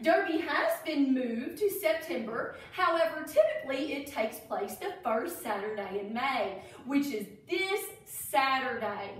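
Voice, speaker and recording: A woman with a high, very lively voice reads aloud to children in an expressive way, pausing for little explanations. She is recorded away from the mic in a very echoey room. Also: recorded from a distance. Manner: animated, expressive, addressing children. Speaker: children's story-time reader, educator.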